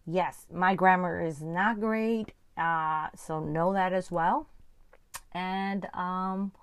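A woman's voice: lowish voice, steady tone, slow, smooth, dry recording. Spanish-accented woman teaching scripture, dry close sound. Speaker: podcast host and Bible teacher. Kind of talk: casual podcast Bible teaching.

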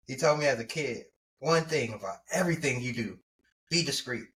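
A man co-hosting a casual podcast, a high-pitched voice and a laid-back, rambling way of talking. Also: animated, brisk, a bit bright. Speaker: podcast co-host.

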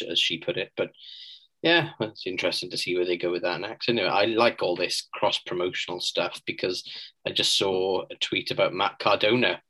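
A man co-hosting a podcast talks in a low voice with a bright, forceful edge. He reasons through points in a measured, thoughtful way. The tone stays relaxed and conversational. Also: rough recording. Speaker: podcast co-host. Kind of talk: casual chat.

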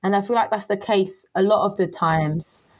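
An earnest young woman who grew up in Germany, with German as her first language. She tells personal stories in a relaxed podcast conversation, circling back with fillers as she goes. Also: mid-range voice, moderate pace. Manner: earnest storytelling with many fillers. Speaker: guest. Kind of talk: casual podcast chat.

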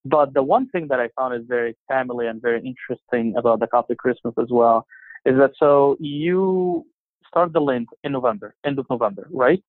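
Egyptian guy on a phone line, halting, lots of ums, dry and tinny. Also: medium pitch, no room sound. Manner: halting, many fillers and restarts. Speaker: interview guest. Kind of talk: casual conversation.

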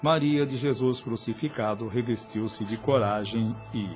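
A man's voice: mid-range voice, fairly even intonation, measured pace. Solemn Brazilian male radio narrator. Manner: solemn, literary. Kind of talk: scripted radio drama narration. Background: music.

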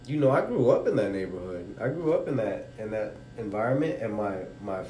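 A man's voice: sing-song, fairly quick, dark tone. A man in a casual talk, rambling with many fillers such as you know. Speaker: conversation participant. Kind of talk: casual conversation. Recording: roomy with faint rumble.

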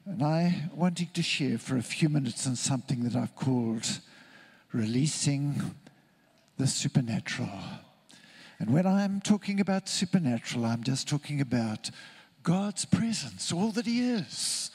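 A man from Zimbabwe, preaching a sermon in an earnest, deliberate way with emphatic repetition. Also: a little high, lively intonation, a little mellow, breathy edge.

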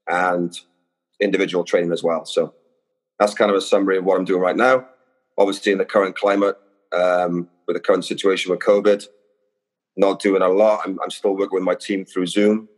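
A man from Wales with a Welsh accent, a guest on a podcast, talking in a relaxed, friendly way with many fillers. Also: low voice. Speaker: guest, youth soccer coach. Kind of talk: casual podcast interview. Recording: long reverb.

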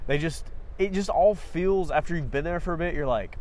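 A man's voice: fairly high pitch, a little fast, darkish tone, slight background noise. A young man co-hosting a casual podcast, congested from a cold, talking in an easygoing way. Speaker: podcast co-host. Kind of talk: casual chat.

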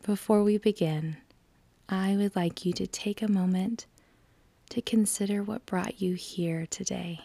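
A woman guides a meditation in a soft, breathy near-whisper. Her delivery is very slow and calm, with long pauses between phrases. The tone stays level and mellow, barely moving in pitch. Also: no room sound.

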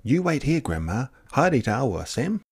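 Man as curious young child, storybook character, high-pitched, breathless, eager, swooping. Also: very animated, moderate pace, breathy edge. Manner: breathless, exclaiming.

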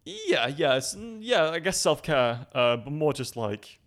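A man's voice: on the high side, sing-song, measured pace, fairly bright tone. A man in his twenties in a casual chat, talking loosely and laughing often. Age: 20s.

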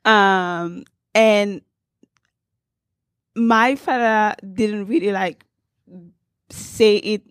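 A woman's voice: medium pitch, fairly even intonation, drawn-out words, pauses often, smooth, no echo. Earnest woman from Cameroon, dry sound. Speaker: panelist. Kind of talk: panel discussion.

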